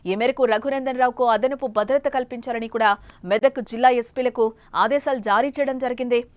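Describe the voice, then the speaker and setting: A woman's voice: a little high, fairly even intonation, fairly quick, dry recording. A woman anchoring breaking news on television, partly improvised, with an emphatic, repetitive delivery.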